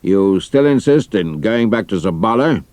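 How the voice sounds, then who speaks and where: A male actor plays a senior British army major in an audio drama. His British voice sits on the low side and swings expressively in pitch, yet his manner stays measured and dry. The sound is tight, with no room echo. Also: expressive, a little mellow, lightly acted. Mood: measured, matter-of-fact.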